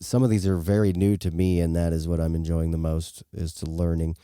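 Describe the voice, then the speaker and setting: Deep-voiced laid-back American man. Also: flat delivery, brisk, warm tone, clean tone, no echo, clean studio sound. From western United States. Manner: laid-back, rambling, slangy. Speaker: podcast co-host. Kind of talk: casual chat.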